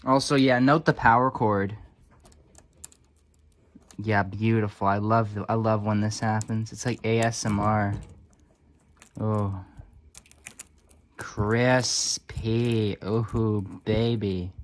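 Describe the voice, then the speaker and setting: A man's voice: lowish voice, steady tone, slow pace, long pauses. A man giving casual, off-the-cuff hobbyist commentary at a workbench, with wry, sarcastic asides muttered along the way. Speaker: hobbyist restorer and video host.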